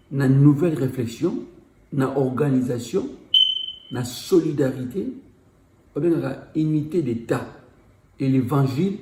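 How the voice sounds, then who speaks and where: Man, Congolese accent, exhorting public address, repeats key phrases, mellow low-toned voice, roomy echo. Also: a little hiss or hum. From Democratic Republic of the Congo. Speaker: community speaker.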